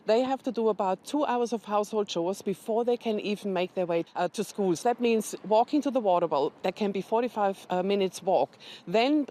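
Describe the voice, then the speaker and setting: A woman representing a charity, a guest in an interview, explaining the work in a steady, factual manner. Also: mid-range voice, brisk, hardly any pauses. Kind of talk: informative interview answers.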